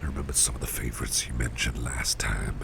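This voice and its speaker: A man playing a doting, protective businessman boss in an ASMR roleplay, murmuring in a gentle, courteous, breathy whisper with unhurried, reassuring repetition. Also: rumbling low. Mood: caring, attentive. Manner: gentle, unhurried, hesitant pauses, repeats phrases reassuringly. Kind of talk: ASMR roleplay, close personal attention. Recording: audible steady background noise.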